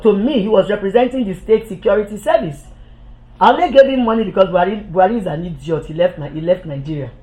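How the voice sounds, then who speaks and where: A woman's voice: mid-range voice, mellow, poor audio. A woman giving an impassioned political monologue, heated and accusatory, repeating herself and stumbling over restarts when agitated.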